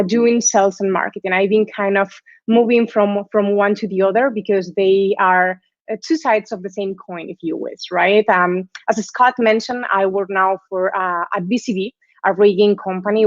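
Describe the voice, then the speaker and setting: A woman's voice: mid-range voice, fairly even intonation, normal speed, no room sound. A woman with a Spanish accent speaks to an online class, chatty and friendly, often checking in with her listeners. The sound is dry and close, with the slightly dull tone of a video call. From Spain. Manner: warm, chatty, tags phrases with "right?". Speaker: guest lecturer. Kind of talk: online lecture presentation.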